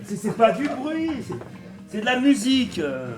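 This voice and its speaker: A man acting in a comedy sketch, teasing and wry in lively banter. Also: very high-pitched, moderate pace, over music.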